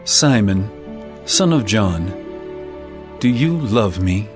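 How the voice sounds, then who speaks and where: A man voicing Jesus as a calm, tender teacher in a dramatized scripture reading, speaking slowly and with measured care. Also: on the low side. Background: music.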